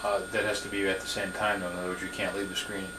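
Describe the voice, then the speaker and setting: A man's voice: low-pitched, flat delivery, fast talker. A man asking step-by-step questions in a computer lesson, often restarting his sentences, recorded off camera. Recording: echoey room with noticeable hiss.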